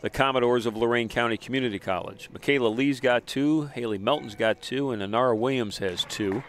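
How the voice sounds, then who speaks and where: A man giving brisk, informative play-by-play commentary on a college basketball game. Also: mid-range voice, slight background noise. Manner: brisk, informative, names players quickly. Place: college gym broadcast booth.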